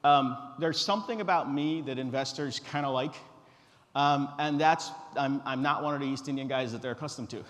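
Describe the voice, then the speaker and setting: A middle-aged man from Guyana giving an informal live stage presentation in a relaxed, direct, joking manner. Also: mid-range voice, normal speed. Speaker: company founder presenting a tech demo. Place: conference stage in a large reverberant hall. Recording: echoey hall through a stage PA.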